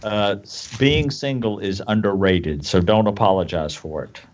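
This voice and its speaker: A man's voice: lowish voice, normal speed, lo-fi sound, a little hiss or hum. A man in his sixties, co-host of a casual chat show, dropping dry wisecracks. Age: 60s.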